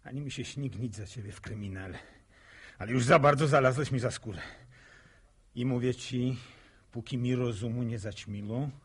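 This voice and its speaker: An actor playing a proud, resentful village farmer in a radio drama, blunt and sardonic. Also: male voice, medium pitch, moderate pace, slightly rough, close to an everyday voice. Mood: menacing.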